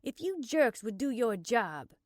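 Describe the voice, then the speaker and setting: An indignant, combative young woman played by a female audiobook reader argues her case with emphatic force. Her voice is lifted in pitch and recorded close and dry, and she sounds stubborn and unwilling to back down. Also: sing-song, measured pace, no room sound. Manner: forceful, argumentative, emphatic delivery.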